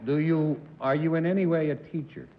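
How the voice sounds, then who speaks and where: A man on a television quiz panel, joking deadpan and asking questions with sardonic wit. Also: a little high, fairly quick, very noisy. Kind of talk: dry comic banter and questioning. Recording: dull 1960s television broadcast audio.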